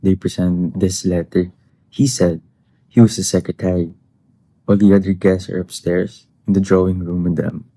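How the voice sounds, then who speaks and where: A man playing a formal, deferential household butler in a stage comedy, speaking in a measured, halting way. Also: sits low, level tone, mellow, no room sound. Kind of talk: comedic stage-play acting.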